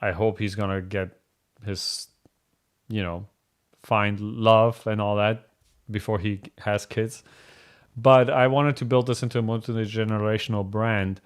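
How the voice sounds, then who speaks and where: A man's voice: on the low side, steady tone, a little slow, clean tone. A man from Germany hosting a casual live question-and-answer chat, speaking in a candid, blunt and rambling way. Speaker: host answering viewer questions.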